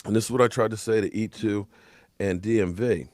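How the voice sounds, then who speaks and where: A man giving opinionated sports commentary in an emphatic, frustrated rant, his voice hoarse and fading. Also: on the low side, steady tone, fairly quick, a little mellow, no echo. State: hoarse, coughing.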